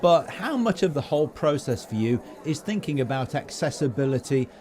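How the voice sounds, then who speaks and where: A man's voice: fairly high pitch, expressive, moderate pace, darkish tone. A British man hosting a podcast, presenting and interviewing guests in a conversational way. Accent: British English. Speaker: podcast host. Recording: busy crowd chatter behind. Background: chatter of people at a venue.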